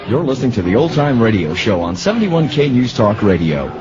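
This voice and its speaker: A man's voice: medium pitch, big pitch swings, fairly quick. An American man reading a scripted station promo as a radio announcer. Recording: muffled radio broadcast. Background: music.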